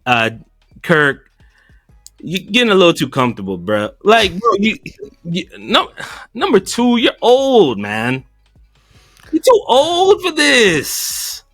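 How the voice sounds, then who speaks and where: Male co-host, unhurried talker, animated and joking, dry close mic. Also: no echo, faint music behind. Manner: animated, laughing, swings from low asides to loud outbursts. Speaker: podcast co-host. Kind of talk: casual reaction chat.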